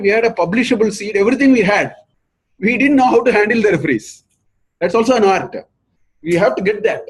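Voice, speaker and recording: Husky Indian man giving a lecture. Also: sits high, darkish tone, low-quality recording. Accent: Indian English. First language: not English. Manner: earnest, repeats points for emphasis. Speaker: professor giving a research talk.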